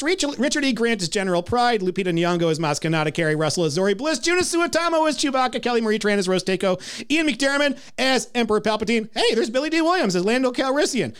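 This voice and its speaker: A man's voice: high-pitched, a bit bright, smooth. A man hosting a movie review podcast, teasing his co-host and rattling off lists.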